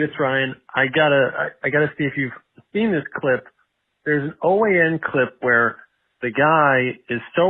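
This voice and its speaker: A man leaving a casual, emphatic voicemail as a caller to a show. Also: fairly high pitch. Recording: tinny phone voicemail.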